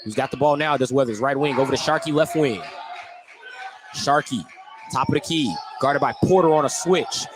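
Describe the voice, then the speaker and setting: A man's voice: mid-range voice, normal speed, background noise. A man working as play-by-play announcer, giving live basketball commentary on the radio.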